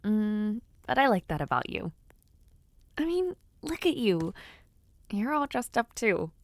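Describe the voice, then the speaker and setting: A woman playing a giddy, bashful young noblewoman in an intimate romance audio roleplay, affectionate and teasing, with soft hesitations. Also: medium pitch, sing-song, a little slow, dry recording. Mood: playful teasing. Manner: soft hesitations, gentle teasing, shy giggles. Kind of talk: intimate scripted audio roleplay, speaking to the listener.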